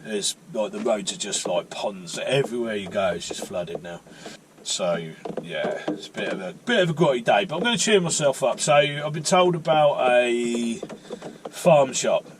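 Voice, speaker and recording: A man talks to his viewers in a loose, rambling way and jokes at his own expense. His voice sits at an ordinary middle pitch. A faint hiss of noise runs under the recording. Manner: chatty, rambling, self-mocking. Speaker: vlogger. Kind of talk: casual vlog chat. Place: outdoors and in a car on a rainy day.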